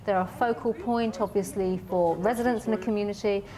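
A woman in her thirties or forties answering an interview indoors in a measured, formal, emphatic way. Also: mid-range voice, fairly even intonation, crowd noise. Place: indoors by an office window. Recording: faint background noise.

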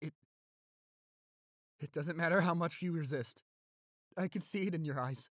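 A young man acting an anxious, devoted boyfriend in a roleplay audio, halting and stammering as he pleads. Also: on the high side, fairly bright tone, dry recording, clean studio sound. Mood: tender, pleading.

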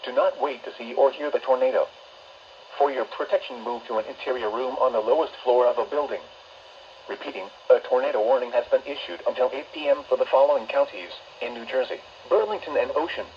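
A synthetic man's voice with an American accent reads scripted emergency alerts as a weather radio announcer, in a flat, measured manner. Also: medium pitch. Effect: synthetic text-to-speech voice. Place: weather radio playback filmed up close indoors. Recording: muffled weather radio speaker, clear background noise.

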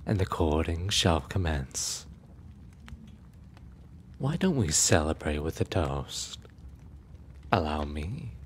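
A man's voice: very deep voice, slight background noise, exaggerated voice. A man playing a suave, menacing immortal prince in an ASMR roleplay, speaking in a formal, courtly, teasing and possessive way. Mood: courtly, charmed.

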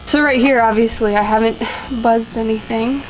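A woman's voice: fairly high pitch, steady tone, moderate pace, background noise. A young woman outdoors on a deck, chatting casually as she explains how she cuts hair at home, laughing at herself now and then. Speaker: vlogger cutting her husband's hair.

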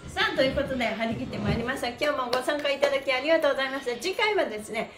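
High-pitched cheerful woman in a roomy space. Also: racing, music in the background. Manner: cheerful, energetic, often laughing, polite presenter phrasing. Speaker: host of a livestreamed stretching class, pantomime performer. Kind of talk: upbeat exercise instruction and casual livestream chat to viewers. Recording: roomy echo, slight background noise.

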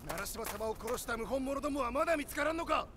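High, furious soldier. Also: male voice, lively intonation, quick pace, background noise. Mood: furious urgent. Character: furious soldier hunting his lord's killers. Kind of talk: voice drama acting.

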